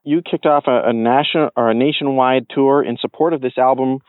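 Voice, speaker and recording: A man's voice: mid-range voice, fairly even intonation, a little fast, dry recording, phone-quality sound. A man hosting an interview, politely putting prepared questions to his guest. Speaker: interviewer, show host.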